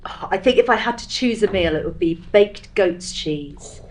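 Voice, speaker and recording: A woman's voice: medium pitch, animated, moderate pace, low-quality recording. A woman chatting casually as a guest on a podcast. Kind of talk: casual chat.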